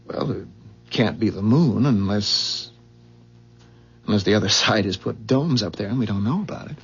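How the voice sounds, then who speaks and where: An actor playing a puzzled, skeptical ordinary husband in a 1970s radio drama, questioning in a hesitant, stammering way. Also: male voice, fairly low pitch, lively intonation, moderate pace, husky, heavily acted. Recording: muffled 1970s radio broadcast.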